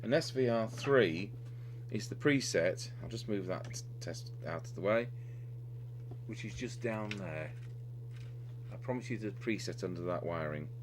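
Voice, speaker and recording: Guy with a kinda low voice doing a radio repair demo, bit hesitant, noisy humming recording. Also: animated, a little slow. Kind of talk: hands-on technical demonstration, unscripted. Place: indoor radio repair workbench. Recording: clearly noisy recording with electrical hum.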